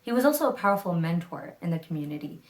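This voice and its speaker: A woman's voice: fairly low pitch, very animated, quick pace, rough recording. A young woman from Tanzania, a law student, giving a polite and formal scholarship acceptance speech.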